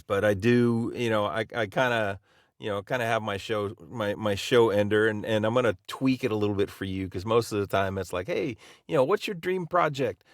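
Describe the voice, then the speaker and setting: A man co-hosts a casual podcast in a lowish voice, rambling through his questions with restarts. The sound is dry and close, with no room around it. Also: fairly bright tone, no echo. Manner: rambling, halting, friendly. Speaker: podcast co-host. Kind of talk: casual interview chat.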